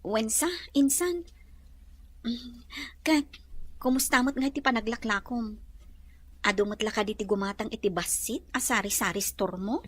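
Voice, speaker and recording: A woman's voice: on the high side, moderate pace, long pauses, fairly bright tone, dry recording. A woman with an Ilocano accent playing an exhausted, overworked employee in a radio drama. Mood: weary.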